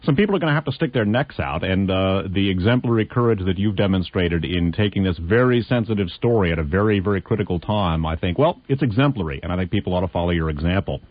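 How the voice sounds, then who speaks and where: A hoarse man hosting a radio interview, earnest and halting, with many fillers. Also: sits low, animated, no room sound. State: hoarse from laryngitis. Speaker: radio host. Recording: muffled lo-fi radio broadcast.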